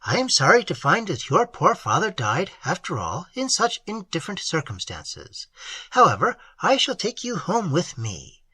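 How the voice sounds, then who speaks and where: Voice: medium pitch, huge pitch swings, moderate pace, pushed and bright, breathy edge, no echo, voice pushed up, heavily acted. A reader voicing a haughty, scolding middle-aged aunt in an audiobook, with a self-important, sneering manner. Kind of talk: audiobook character voice.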